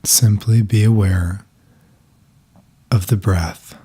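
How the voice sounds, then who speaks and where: Male meditation guide: lowish, husky and breathy, calm and soft, long pauses between phrases.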